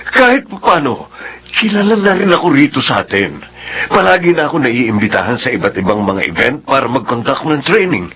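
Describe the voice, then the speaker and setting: A man's voice: mid-range voice, very animated, fairly quick, sharp tone, husky, full character voice. An actor with a Filipino accent playing an uneasy businessman father in a radio drama, hesitant and placating. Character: uneasy, image-conscious businessman father, placating his wife.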